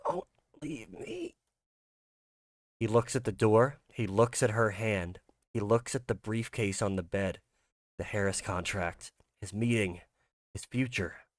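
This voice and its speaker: Male horror narrator, lowish pitch, dry sound, scripted scene-setting delivery. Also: measured pace, no echo. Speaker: narrator and creator.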